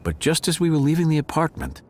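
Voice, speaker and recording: A man's voice: fairly high pitch, big pitch swings, fast talker. A man playing a wry, world-weary male cat who narrates a film-noir detective audio drama in a theatrical way. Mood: matter-of-fact. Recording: studio drama over loud music bed.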